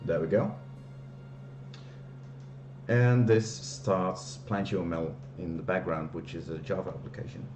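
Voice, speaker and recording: Flemish man with a deep voice. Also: measured pace, long pauses, reverberant, over music. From Belgium, Flemish part. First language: Dutch. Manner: hesitant, many fillers and restarts. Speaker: streamer. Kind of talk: casual live-stream walkthrough.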